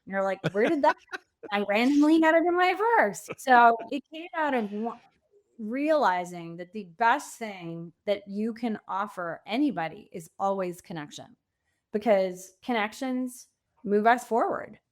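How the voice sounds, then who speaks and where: A woman speaking conversationally as a podcast guest and coach, telling stories in an animated way with bits of quoted dialogue. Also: a little high, unhurried. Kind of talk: conversational interview.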